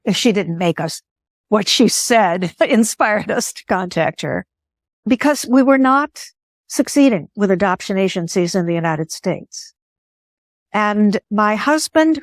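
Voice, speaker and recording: An older woman whose first language was Greek talks in an interview. Her voice is mid-pitched and measured, and she often pauses to restate a thought. The sound is close and dry. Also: no room sound. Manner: thoughtful, measured, pausing to rephrase. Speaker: guest. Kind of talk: interview conversation.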